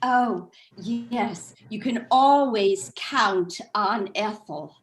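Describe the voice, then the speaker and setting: A woman's voice: mid-range voice, extremely slow, low-quality recording. A woman playing a gossipy, anxious suburban housewife in a staged play reading. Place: video call.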